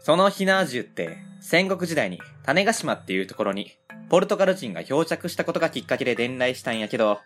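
A woman playing an easygoing 39-year-old male factory owner with a Kansai accent, a low folksy voice, narrating and chatting in an amateur web radio drama. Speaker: narrator and lead character.

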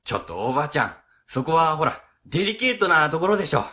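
A man's voice: fairly high pitch, sing-song, fast talker, bright, forceful tone. A man playing a middle-aged civil servant boss in a comic radio drama, chatty, easily flustered and ingratiating. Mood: chatty, clueless.

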